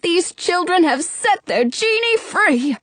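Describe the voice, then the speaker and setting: A woman voicing an obnoxious old male genie in a storybook, with a theatrical, excitable manner. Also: very high-pitched, slow pace, dry recording, voice pushed up, full character voice. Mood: tearful, gushing gratitude. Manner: gruff, sarcastic, theatrical exclamations. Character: grumpy, obnoxious old male genie turning gushingly joyful.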